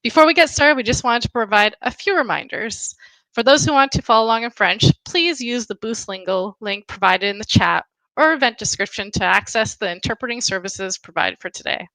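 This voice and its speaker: A woman hosting an educational online tour who gives upbeat, clear announcements. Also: on the high side, a little fast, sharp tone, no echo, poor audio. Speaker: event host. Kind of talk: scripted live-stream hosting.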